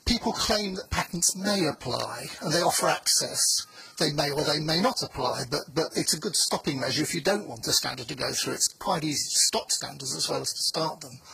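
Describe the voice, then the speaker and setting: Blunt guy at a conference mic, gravelly raspy voice, dry sound. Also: fairly high pitch, bright, forceful tone, no room sound, poor audio. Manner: blunt, wry asides. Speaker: conference speaker. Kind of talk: informal conference talk.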